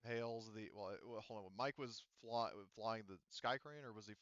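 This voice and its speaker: Guy gm-ing a tabletop rpg, kinda halting, making it up as he goes, clean smooth voice, no room echo. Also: on the low side, a bit bright, muffled recording. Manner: halting, conversational, improvised narration. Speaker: game master. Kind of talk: narrating a tabletop roleplaying game.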